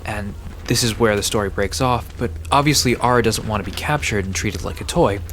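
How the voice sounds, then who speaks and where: A man's voice: on the low side, fairly quick, a bit bright. A man playing a friendly park guide who explains myths conversationally in an audio drama. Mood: storytelling. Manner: explanatory storytelling. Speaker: storyteller guide. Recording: background noise with outdoor ambience.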